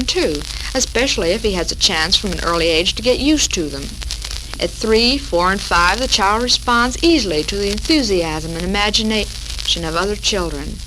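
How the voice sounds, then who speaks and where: An American woman presents a 1940s radio talk for housewives in a mid-range voice. Her reading is measured and formal, like a prepared script. The old broadcast recording crackles with clear noise throughout. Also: medium pitch. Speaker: radio show host.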